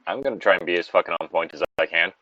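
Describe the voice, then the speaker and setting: A man's voice: low-pitched, rapid-fire, pushed and bright, muffled recording, slight background noise. A man giving casual, rambling and blunt commentary on a story, with frequent swearing. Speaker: podcast host reading and commenting on a story.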